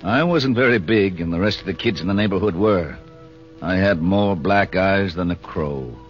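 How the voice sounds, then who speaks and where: A man's voice: sits low, moderate pace, faint music behind, natural voice. An actor playing a grumpy but sentimental old man who grumbles in slangy city tough talk, in 1940s radio style. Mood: wistful. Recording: dull old radio recording.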